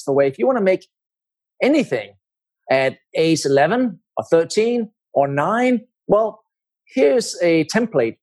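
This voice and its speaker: A middle-aged man with a Danish accent, telling his story as an interview guest in measured, explanatory phrases. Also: fairly high pitch, a little mellow. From Denmark.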